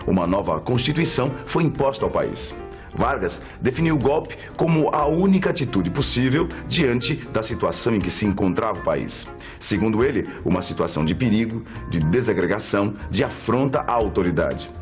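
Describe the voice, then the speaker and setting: Brazilian male documentary presenter, low voice, explanatory scripted delivery over music. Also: very animated, normal speed. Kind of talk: scripted educational narration.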